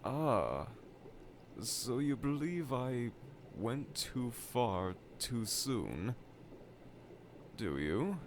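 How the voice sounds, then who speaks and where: A man's voice: mid-range voice, big pitch swings, extremely slow, long pauses, a little hiss or hum. A man voicing a vain, needy middle-aged evil scientist with pompous, formal, melodramatic diction in a fan audio roleplay. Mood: hesitant, pensive. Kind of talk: fan audio roleplay monologue addressed to listener. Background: laboratory ambience.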